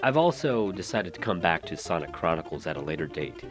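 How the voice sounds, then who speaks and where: A man's voice: deep voice, very animated, brisk, music in the background. A man hosting a solo podcast, congested and nasal from a head cold, rambling, trailing off and restarting mid-sentence. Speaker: podcast host.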